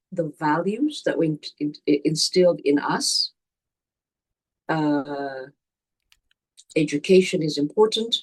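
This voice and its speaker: Older woman, deliberate reflective guest with a breathy edge. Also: lowish voice, low-quality recording. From Zambia. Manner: slow, deliberate, with pauses and restarts. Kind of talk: reflective interview answer.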